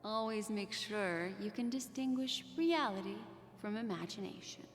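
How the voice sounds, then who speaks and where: A woman playing an enigmatic storyteller in a video game, narrating in a measured, theatrical, teasing manner. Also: medium pitch, big-room sound, slight background noise, close to an everyday voice. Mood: mysterious. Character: enigmatic, poised woman storyteller posing riddles. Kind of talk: scripted dramatic narration. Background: music.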